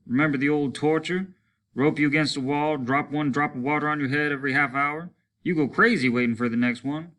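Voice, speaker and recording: A man's voice: a little high, a bit bright, pitched above the performer's own voice. A man voicing a bitter crewman stranded on a rain-soaked planet, griping sardonically.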